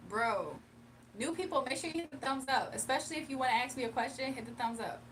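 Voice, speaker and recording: A woman's voice: sits high, fast, fairly bright tone. Chatty young woman streaming. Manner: chatty, laughs often, reads chat aloud. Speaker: livestream tarot reader. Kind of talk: casual live chat and tarot reading. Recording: distant mic with faint room noise.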